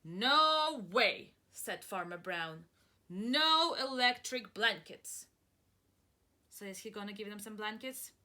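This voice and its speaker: A woman teacher reading a picture book aloud to preschoolers, stopping to ask questions and encouraging the listeners in a deliberate, dramatic way. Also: medium pitch, animated, pushed and bright. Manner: slow, deliberate and dramatic, stretching words, pausing to ask questions; encouraging, praising and addressing listeners as friends. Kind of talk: interactive picture-book read-aloud for young children.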